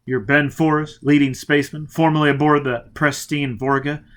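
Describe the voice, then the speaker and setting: A man's voice: on the high side, level tone, moderate pace, pitched above the performer's own voice, close to an everyday voice. A man reading a story and voicing a brutal, driven spaceman who barks clipped, demanding lines. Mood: demanding, hard. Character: brutal, driven male spaceman interrogating with growling menace.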